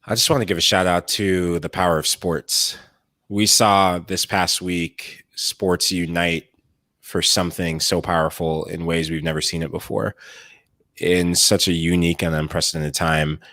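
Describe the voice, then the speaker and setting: A man, a former pro player on a podcast panel, speaking earnestly and in measured phrases. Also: low-pitched, fairly even intonation, sharp tone, dry recording. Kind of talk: earnest speech.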